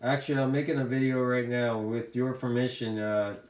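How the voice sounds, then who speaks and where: A man's voice: medium pitch, flat delivery, moderate pace. A middle-aged American man chatting casually as a CB radio hobbyist, talkative and given to repeating his phrases. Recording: echoey room sound.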